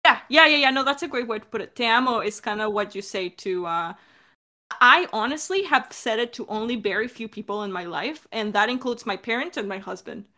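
Bright, higher-voiced woman explaining casually. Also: animated, brisk. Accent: American. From Mexico. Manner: informal, hesitant, self-correcting. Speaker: audio drama creator in a Q&A.

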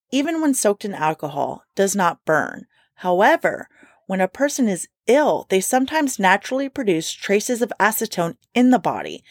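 A woman's voice: medium pitch, no room sound, studio quality. A woman co-hosting a casual podcast, telling a strange case with playful swearing and reading quotes aloud. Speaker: podcast co-host telling a true-crime story. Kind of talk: casual storytelling chat.

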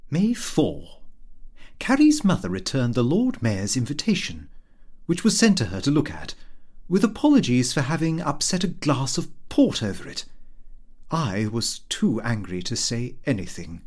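A man's voice: medium pitch, wildly swooping pitch, a little slow, a little mellow. A man narrating a comic Victorian diary as an audiobook, in a prim, self-important way.

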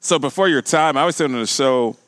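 A man's voice: mid-range voice, a little fast, fairly bright tone, no room sound. A man hosting a casual talk radio show, often repeating and restarting phrases for emphasis.

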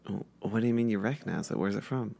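A woman playing a tabletop character, quick and joking. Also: very deep voice, creaky, warm tone, slightly rough. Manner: quick, joking, lots of laughter. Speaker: player. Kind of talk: in-character roleplay at a tabletop game.

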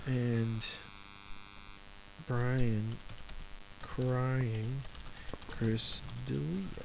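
A man's voice: mid-range voice, fairly even intonation, very slow, creaky, background noise. A man hosting a casual podcast, talking loosely and profanely and often restarting mid-sentence. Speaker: podcast host.

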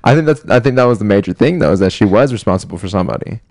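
A man's voice: on the low side, animated, very fast, a little mellow, no echo, studio quality. An American man interviewing casually, with a slangy, laid-back and affirming way of talking.

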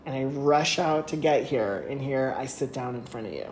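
A woman co-hosting a casual chat show, retelling a story with lively, laughing asides and sudden high exclamations. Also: normal speed. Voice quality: laughs often while talking. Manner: animated retelling, voice jumps high when excited. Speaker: podcast co-host. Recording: echoey room with faint noise.

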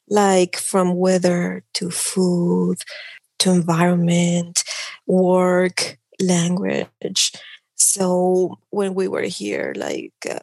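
A woman's voice: lowish voice, creaky, darkish tone, dry recording. A middle-aged woman from Mexico with a Mexican Spanish accent, earnestly explaining her work as an image consultant in an interview, with frequent restarts. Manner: earnest, many restarts and "like" fillers. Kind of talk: casual interview talk.

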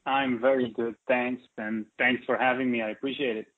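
A man's voice: mid-range voice, flat delivery, normal speed. Man in his forties, phone-thin. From Israel. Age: 40s. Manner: hesitant, many fillers. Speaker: interview guest, company co-founder. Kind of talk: interview conversation. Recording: tinny phone-like line.

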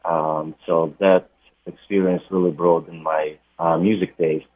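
A young man with a Ukrainian accent, talking about his music in a relaxed radio interview, pausing often with "uh". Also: sits low, no room sound. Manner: unhurried, with frequent uh pauses. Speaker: DJ and radio guest. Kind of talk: interview conversation. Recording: tinny phone-like line.

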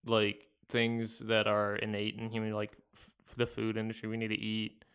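A man's voice: lowish voice, monotone, normal speed, fairly bright tone, smooth. Young man joking around on a podcast. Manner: playful, joking banter. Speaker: podcast co-host. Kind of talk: casual podcast chat.

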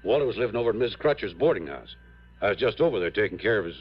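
An actor playing an uneasy, defensive miner in an old radio drama, halting and politely guarded. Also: male voice, on the low side, expressive, husky. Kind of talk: 1950s-60s American radio drama acting. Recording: dull old radio recording, faint music.

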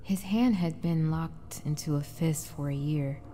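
Woman acting Courtney, an anguished lower-voiced wife. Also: unhurried, warm tone, slight background noise. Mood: somber reflective. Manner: dramatic, emotional delivery. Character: Courtney, anguished wife trapped in a frozen-time barrier. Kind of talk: audio drama narration and dialogue.

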